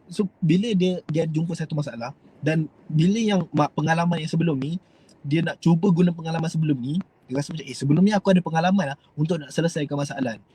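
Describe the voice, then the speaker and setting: A man's voice: on the high side, fast, dark tone, husky, low-quality recording. A young Malaysian man with a Malaysian Malay accent, giving a rambling, hesitant motivational talk to his viewers. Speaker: host.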